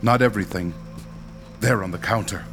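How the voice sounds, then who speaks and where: A man acts out a dignified doctor who leads ghost hunters in a radio play. His fairly low voice is measured, formal and pedantically precise. Music is clearly audible behind him. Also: natural voice. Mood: calm, commanding. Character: dignified, pedantic male ghost-hunting doctor and team leader. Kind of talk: radio drama acting.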